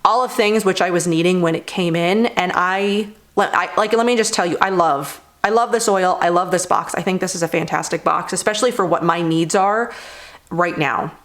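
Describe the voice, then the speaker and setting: Young woman, low-ish voice, slightly hoarse, rambling casual beauty chat, lots of fillers. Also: normal speed. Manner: rambling, many fillers like "like" and "you know". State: hoarse after laryngitis. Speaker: beauty vlogger. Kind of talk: casual product review chat.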